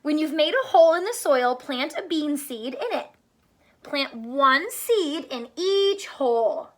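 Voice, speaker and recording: A woman teacher reading a picture book aloud to young children, pausing to explain simply and ask questions, drawing out words for emphasis. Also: high voice, animated, a bit bright.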